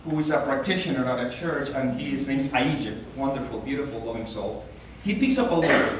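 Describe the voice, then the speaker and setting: A man with a Puerto Rican accent giving an earnest, animated inspirational talk as a guest speaker at a church. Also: fairly quick, noisy background. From Puerto Rico. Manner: earnest, animated, rises in pitch when stressing a point. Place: large church hall. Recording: echoey hall, taken from far off.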